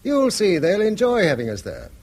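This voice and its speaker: An English schoolmaster in a radio play, middle-aged and proud despite thin means. The voice is husky and a touch high for a man, rising and falling freely as he coaxes earnestly. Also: huge pitch swings, moderate pace, darkish tone, exaggerated voice. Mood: playful, persuasive. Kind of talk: British radio drama acting.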